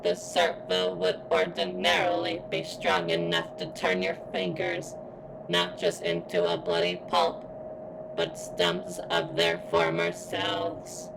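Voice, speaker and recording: Robot girl played by a woman, ASMR roleplay: very low, heavily gravelly, formal, strained as if hurting, longing to be free. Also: sing-song, background noise, full character voice. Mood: intimate, strained. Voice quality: strained, as if in pain. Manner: formal, halting, deliberate robotic delivery. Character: pained female robot security bot craving freedom and sentience.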